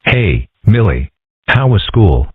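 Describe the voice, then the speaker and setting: A man voicing a mild, bookish, deadpan homeschooled boy in a comic strip dub, with a husky, subdued voice. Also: lowish voice, slow pace, dry recording, exaggerated voice. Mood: deadpan, pondering. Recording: muffled phone-like lo-fi recording.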